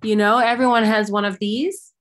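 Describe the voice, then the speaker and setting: A woman's voice: fairly high pitch, moderate pace. A middle-aged woman from Maryland, a singer and yoga teacher, chatting animatedly over a video call from her home. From Maryland, USA. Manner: animated storyteller, lively bursts of energy. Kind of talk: casual video-call interview. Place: home room.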